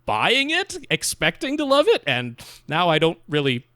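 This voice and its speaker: Guy podcaster w a highish voice, really up and down, rambly opinionated chat, super dry sound. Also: moderate pace, no room sound. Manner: animated, rambling, self-deprecating. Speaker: podcast co-host. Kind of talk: casual opinionated film chat.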